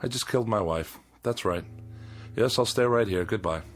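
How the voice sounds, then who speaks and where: An actor playing a bored, cruel immortal husband in a mid-century American TV drama, with a theatrical, scornful delivery and an American accent. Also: male voice, lowish voice, normal speed, close to an everyday voice. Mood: brisk, matter-of-fact. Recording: vintage TV soundtrack with music.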